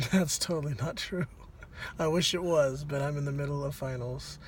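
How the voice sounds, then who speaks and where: A woman's voice: deep voice, unhurried, slight background noise. A woman co-hosting a casual, unscripted review chat, steering it with questions and prompts. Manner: questioning, keeps prompting the other speaker. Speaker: co-host steering a film review.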